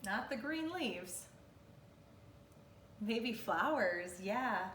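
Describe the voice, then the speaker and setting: A woman reads aloud like a teacher of small children, in a fairly high, unhurried voice. She is expressive and instructive, putting questions to her listeners and answering them. The sound is roomy, as if the microphone sits a little way off in a living room. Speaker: kindergarten teacher. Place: living room at home, indoors. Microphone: camera or phone a few metres away. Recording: roomy, distant mic, faint noise.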